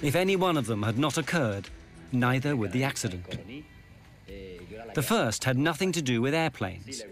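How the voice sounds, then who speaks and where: A man reads documentary narration in a measured, grave way. A strong musical score runs beneath his voice. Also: mid-range voice, sing-song, faint music behind.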